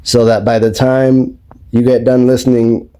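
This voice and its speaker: A man talking casually as a video host, explaining things step by step in a rambling, unhurried way. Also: mid-range voice, little pitch movement, dark tone, smooth. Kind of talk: casual explanatory vlog talk. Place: indoors at home, behind the camera.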